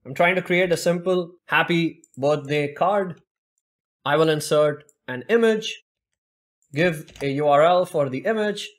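A young man walks through a software demo step by step, his voice sitting a little higher than most men's. He explains each click at a steady, even pace, speaking into a desk microphone at his computer. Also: a little slow. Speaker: tech tutorial presenter. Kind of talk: screen-recorded software demo narration.

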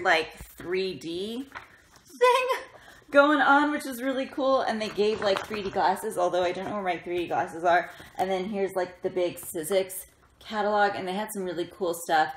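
A woman in her thirties chatting casually and excitably, with a self-deprecating, giggly manner, as a craft vlogger. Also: lowish voice. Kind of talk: casual chatty haul vlog. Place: indoors in a bedroom, sitting up in bed. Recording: roomy echo, faint noise, handling clicks.

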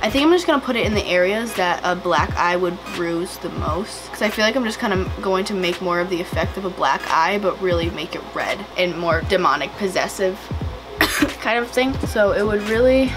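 Raspy, slightly sick young woman, chatty makeup vlogger, music underneath. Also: medium pitch. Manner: chatty, rambling, giggly asides. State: slightly hoarse from a cold. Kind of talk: casual makeup tutorial chat. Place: small home studio with paper backdrop.

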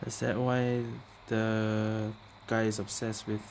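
A woman's voice: rumbling low, level tone, slow, a little mellow, slight background noise. A woman giving casual, sparse reactions while recording a game playthrough.

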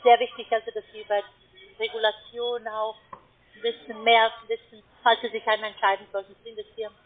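High-voiced woman on a tinny phone line. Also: level tone. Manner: hesitant, halting, persuading. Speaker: call centre trading salesperson. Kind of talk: unscripted sales phone call. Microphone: telephone.

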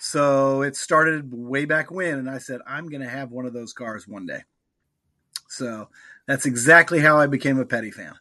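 A man's voice: mid-range voice, normal speed, bright, forceful tone. A man over fifty from South Dakota, chatting casually as an interview guest. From South Dakota, United States. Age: 50 or older. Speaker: guest, racing fan.

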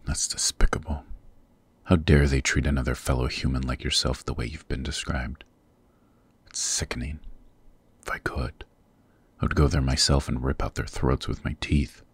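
Guy doing a super deep husky demon king, possessive but tender, formal intimate asmr roleplay. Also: level tone, moderate pace, full character voice. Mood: quietly furious. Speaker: voice actor.

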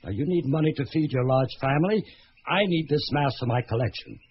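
An actor playing a covetous American entrepreneur and collector in a radio drama, brash and insistent, with an American accent. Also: male voice, a little high, lively intonation, brisk, natural voice. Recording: slightly noisy, muffled radio recording.

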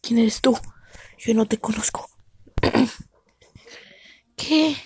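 A woman voicing a small, stubborn male creature taken as a pet, protesting and easily startled, with a husky voice. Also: fairly high pitch, extremely slow, darkish tone, no room sound, whispered, heavily acted. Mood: wary.

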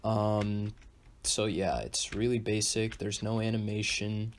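Low-voiced man hosting a coding tutorial. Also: takes it slowly, slight background noise. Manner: relaxed, with frequent ums and restarts. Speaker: tutorial host. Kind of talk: casual screen-recorded coding tutorial.

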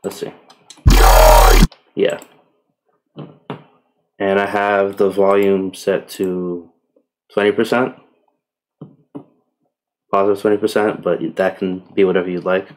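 A man giving a casual software tutorial in a relaxed, unhurried way with tentative asides. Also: low-pitched. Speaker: tutorial presenter. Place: indoors, voice-over on screen recording.

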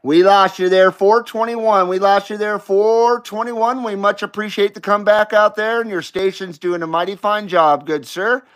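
A man's voice: high voice, fairly even intonation, normal speed, few pauses, a bit bright, smooth. A man hosting as a CB radio operator, with showy, hearty on-air patter and repeated catchphrases. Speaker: CB radio operator and live stream host.

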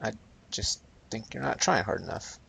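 A young man playing a video game and chatting over it, sarcastic and rambling, with a raspy voice he calls high. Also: normal speed, crowd noise. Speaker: gameplay commentator. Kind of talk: casual game commentary.

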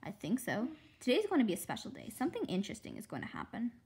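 Animated, slightly husky woman reading aloud. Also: on the high side, quick pace. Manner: animated, acting out dialogue and sound effects. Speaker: read-aloud host. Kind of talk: expressive storybook read-aloud.

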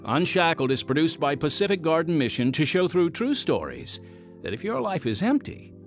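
Animated male radio announcer over music. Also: fairly high pitch, moderate pace. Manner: earnest, emphatic, rhetorical. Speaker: announcer and narrator. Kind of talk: scripted radio exhortation and announcements.

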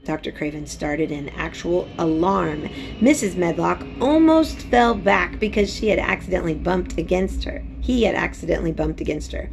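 A woman in her forties with a slightly husky voice, reading a story aloud in an expressive, informal way, like a teacher. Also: on the low side, moderate pace, a little hiss or hum. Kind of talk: read-aloud storytelling with casual asides. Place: small home room indoors. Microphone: phone at arm's length.

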